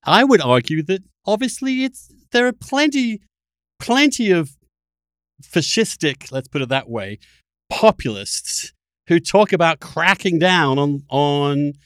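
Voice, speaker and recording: A man hosting a conversational political interview, probing and debating with halting, repeated phrasing. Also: on the high side, very animated, smooth, no room sound, studio quality. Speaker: podcast host.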